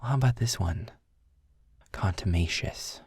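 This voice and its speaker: A man playing a snarky, weary, well-spoken demon guide in an intimate audio roleplay, with a husky, gravelly voice and a dry, wordy manner. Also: deep voice, a little mellow, no room sound, heavily acted. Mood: exasperated. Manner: sarcastic, wordy, dry, deliberate. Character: snarky, weary, erudite male demon guide trapped in hell.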